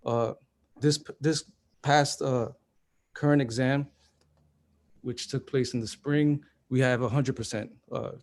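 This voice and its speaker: A man, a high school teacher, presenting his program at a virtual school fair, speaking plainly with lots of fillers over a video call. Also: steady tone, a little mellow. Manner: plain, halting with many fillers.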